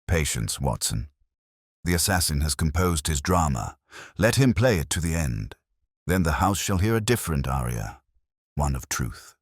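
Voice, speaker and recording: Calm, commanding male detective for a mystery audiobook read by a man: very deep, husky, measured and theatrical. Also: no room sound, studio quality, exaggerated voice. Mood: calm, assured. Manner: measured, theatrical, authoritative pronouncements. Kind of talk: audiobook character dialogue.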